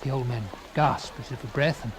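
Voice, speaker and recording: A man reading a classic literary story in a dramatic first-person style, with theatrical, deliberate phrasing and swelling emphasis. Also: medium pitch. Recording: clearly noisy with background ambience.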